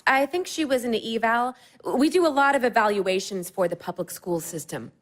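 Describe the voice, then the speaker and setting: A woman's voice: a little high, a little fast, lightly acted. An actress playing a chatty young woman, a psychology grad student, in an audio play, telling stories with a lot of laughter. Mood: animated. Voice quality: lively, laughing storyteller.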